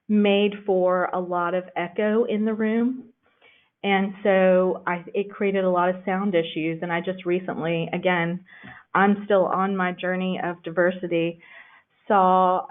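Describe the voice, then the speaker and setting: A woman giving a conversational library lecture, pausing often with "um". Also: on the low side. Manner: conversational, frequent "um" fillers. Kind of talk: recorded lecture with slides. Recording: tinny phone-like recording.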